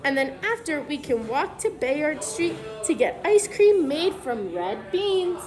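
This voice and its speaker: A woman reading a picture book aloud to young children, pausing to explain things simply as a preschool teacher would. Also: high-pitched, big pitch swings, over music.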